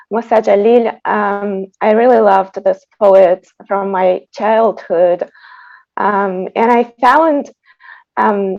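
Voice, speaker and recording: A woman who is a native Tatar speaker, giving an informal, reflective introduction as a composer, with halting phrasing, over a video call. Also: medium pitch, creaky, darkish tone, muffled recording. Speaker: composer introducing her piece. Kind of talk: informal spoken introduction at an online concert.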